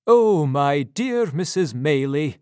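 Dr Losberne, a woman doing a fussy excitable doctor. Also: on the low side, takes it slowly, dry recording, studio quality, pitched above the performer's own voice. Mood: fussing. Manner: exclaiming, fussing.

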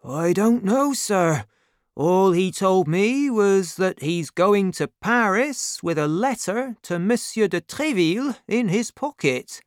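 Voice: mid-range voice, expressive, slow, no echo, clean studio sound, pitched above the performer's own voice. A storybook narrator playing a servile male innkeeper, polite and eager to explain. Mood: deferential.

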